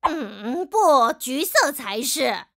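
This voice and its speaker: A woman voicing a firm old grandmother shark in a children's cartoon, drawn-out and insistent, with a Taiwanese Mandarin accent. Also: high-pitched, sing-song, a bit bright, exaggerated voice. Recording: music behind.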